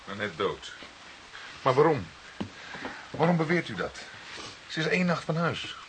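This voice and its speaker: An actor playing a blunt, sceptical junior police detective in a crime drama. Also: male voice, a little high, very animated, measured pace. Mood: sceptical, impatient. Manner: blunt, doubting. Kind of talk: naturalistic crime drama acting. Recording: noisy, hissy recording with clicks.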